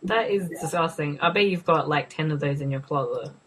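A woman's voice: sits low, fairly quick, a bit bright, slight background noise, low-quality recording. A young woman casually co-hosting a movie commentary, joking, sarcastic and swearing freely. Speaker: co-host.

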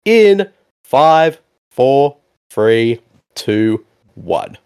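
Man, podcast host, casual movie commentary, lively swooping pitch, animated, quick to agree. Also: smooth. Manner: animated, laughs often, repeats "exactly" and "indeed".

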